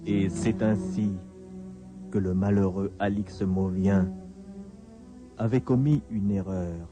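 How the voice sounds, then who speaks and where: Radio tale narrator: man, low-pitched, deliberate and dramatic, lo-fi sound over a music bed. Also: fairly even intonation. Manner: measured, deliberate phrasing with pauses. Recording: dull lo-fi radio recording. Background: clear music bed.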